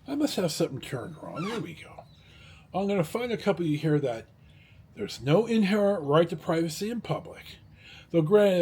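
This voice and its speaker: A man talking casually in a rambling, halting vlog style, blunt and a little salty, with a husky, rough-edged voice. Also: a little high, darkish tone. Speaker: vlogger.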